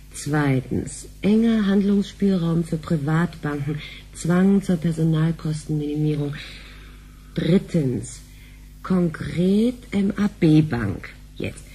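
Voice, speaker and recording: Sharp-tongued, suspicious sci-fi wife. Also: female voice, on the low side, husky, heavily acted. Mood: impatient. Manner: brisk, sardonic. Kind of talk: radio drama acting.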